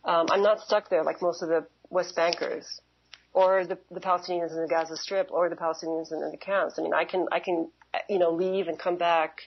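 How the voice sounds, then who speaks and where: A woman's voice: on the low side, fairly even intonation, brisk, breathy edge, dull audio. A woman in her forties from Canada and Kuwait, answering interview questions conversationally in a hesitant, reflective way, often rephrasing as she goes. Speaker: interview guest, museum professional.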